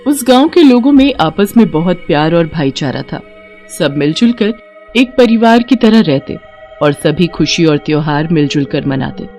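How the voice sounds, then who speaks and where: Cartoon horror story narrator, scripted read, mid pitch, music behind. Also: darkish tone.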